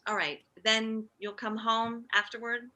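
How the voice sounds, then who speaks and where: A woman acting an excitable, jealous, meddling middle-aged wife and mother in a comedy script read, blunt and needy. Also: fairly high pitch, unhurried. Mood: anxious, conciliatory.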